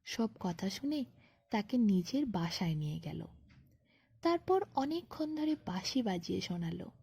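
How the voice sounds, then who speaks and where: A woman narrating a scripted bedtime fairy tale as a storyteller, in an expressive, unhurried manner. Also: mid-range voice, gravelly, breathy edge, dry recording.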